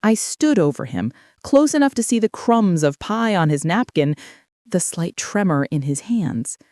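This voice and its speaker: Low, expressive woman storyteller. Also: no room sound, clean studio sound. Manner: calm, measured and quietly menacing. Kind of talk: first-person scripted story narration.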